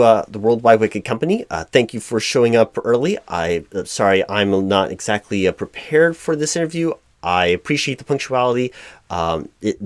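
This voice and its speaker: A man's voice: medium pitch, no echo, natural voice. A man playing a flustered, apologetic corporate hiring manager in a one-sided roleplay, stammering and repeating his words as he goes. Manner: frustrated, hesitant. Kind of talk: improvised audio roleplay, one side of a conversation.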